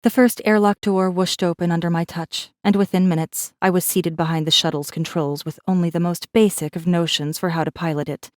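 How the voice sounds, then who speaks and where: A woman plays a guarded rebel messenger telling her own space fantasy story. Her voice sits a little low, with a wry, controlled and intimate delivery. The narration is scripted and dramatic, recorded very dry. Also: steady tone, a little fast, few pauses, dry recording, close to an everyday voice. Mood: wry, determined. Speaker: audiobook narrator in first person.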